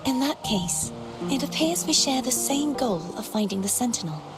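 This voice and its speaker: High-voiced, composed, wistful maiden. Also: female voice, lively intonation. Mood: pensive, composed. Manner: formal, measured, storybook phrasing. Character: composed, wistful young maiden confined alone in isolation. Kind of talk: scripted video game dialogue. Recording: game music behind the voice.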